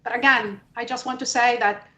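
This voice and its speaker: A woman from the Middle East speaking emphatically and deliberately as a journalist on a panel about politics. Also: fairly high pitch, fairly even intonation, bright, forceful tone. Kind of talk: panel testimony, impassioned political talk. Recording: echoey room.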